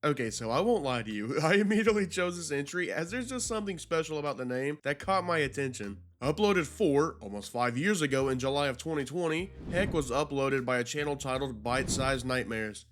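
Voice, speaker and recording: A man's voice: mid-range voice, expressive, a little fast, few pauses, faint music behind. A man hosting a video commentary, narrating in an informal, slangy, conversational way. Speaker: YouTube host.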